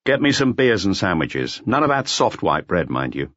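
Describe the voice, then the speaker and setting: A male narrator plays an unruffled inspector of forty-five in an audiobook. He is terse and commanding, with a husky voice in a dry, slightly dull recording. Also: low voice, big pitch swings, moderate pace, a bit bright, dry recording, full character voice. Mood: calm, terse. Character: calm, gruff, bulky male police inspector of forty-five.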